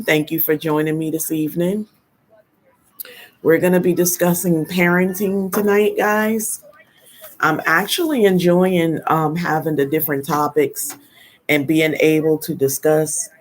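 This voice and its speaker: A woman hosting a casual live stream. She is congested from a head cold and greets viewers slowly, with frequent ums. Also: low voice. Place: at home in New Jersey.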